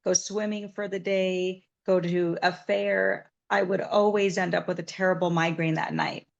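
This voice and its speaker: A woman's voice: lowish voice, level tone, moderate pace, vocal fry, lo-fi sound. A woman from Southern Nevada, a massage therapist, telling her story in an interview in an earnest, reflective way.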